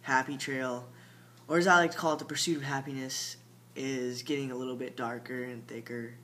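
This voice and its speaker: A man's voice: medium pitch, little pitch movement, a little fast, fairly bright tone. A young man talking through a casual late-night video diary, rambling with lots of fillers and sometimes dropping to a mumble. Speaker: vlogger. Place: home at night. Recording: faint hum behind the voice.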